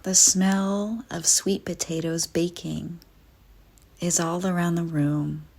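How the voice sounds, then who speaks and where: Slow, husky, low-voiced woman guiding meditation. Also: level tone. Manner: soft, breathy, soothing, deliberate delivery with frequent pauses. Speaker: guided meditation narrator. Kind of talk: guided visualization meditation, scripted first-person narration.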